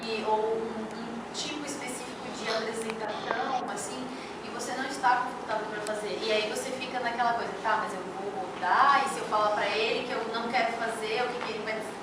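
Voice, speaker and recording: Brazilian woman kinda high voice, hesitant panel chat, super echoey room. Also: steady tone, a little fast, hardly any pauses. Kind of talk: informal panel discussion. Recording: very echoey, distant, loud room noise.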